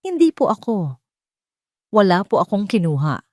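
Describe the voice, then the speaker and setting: Storybook child: female reader as frightened young boy, higher register, tearful pleas, dry recording. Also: very animated, normal speed, dry recording, natural voice. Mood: tearful denial. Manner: pleading, tearful. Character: frightened, pleading little boy. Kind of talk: dramatic audio storybook dialogue.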